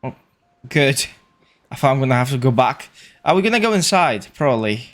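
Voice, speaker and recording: A man livestreaming a video game, casually thinking out loud in short muttered remarks. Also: mid-range voice, sing-song, fairly quick. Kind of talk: casual gameplay commentary.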